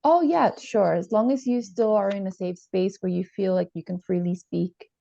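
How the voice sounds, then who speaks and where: A woman playing a calm, supportive therapist in an improvised session over a video call, speaking gently and a little hesitantly. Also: medium pitch, normal speed, vocal fry, close to an everyday voice. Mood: attentive, supportive. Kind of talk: improvised role-play dialogue. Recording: slightly dull, roomy video-call audio.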